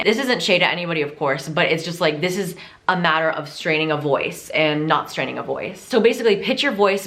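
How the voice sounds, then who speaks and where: A woman's voice: lowish voice, fairly bright tone. A young adult woman vlogging in a casual, chatty and playful way, swearing freely as she gives tips. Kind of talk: casual chat.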